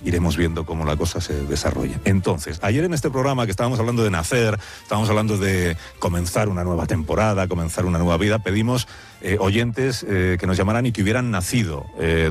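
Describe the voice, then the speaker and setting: Male radio host, very low pitch, conversational and playful, outdoors. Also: lively intonation, fairly quick, soft background music. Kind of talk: on-location radio interview. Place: village square outdoors.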